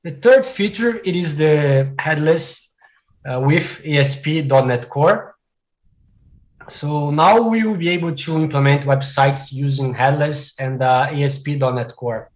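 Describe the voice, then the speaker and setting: A man's voice: on the high side, highs cut off. Portuguese-native man presenting tech talk. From Brazil. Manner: frequent "uh" fillers, measured delivery. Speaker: conference speaker, software developer. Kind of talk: technical presentation.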